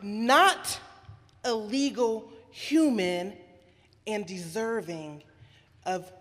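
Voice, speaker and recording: A woman's voice: mid-range voice, very slow, fairly bright tone. A woman reciting short scripted personal statements, recorded with long hall reverb.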